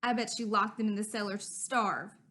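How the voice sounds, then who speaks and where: A woman's voice: mid-range voice, fairly even intonation, brisk, pushed and bright, natural voice. A woman reading a storybook aloud, voicing an alarmed young boy who imagines the worst.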